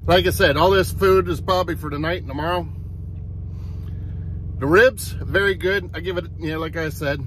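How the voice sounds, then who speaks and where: A man's voice: on the high side, steady tone, moderate pace, long pauses, fairly bright tone, a little hiss or hum. A man in his forties, a truck driver, talking plainly through a casual food review while eating in his truck cab, recorded on a phone held at arm's length. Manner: plain-spoken, talks between bites. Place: inside a truck cab sleeper. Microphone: phone camera at arm's length.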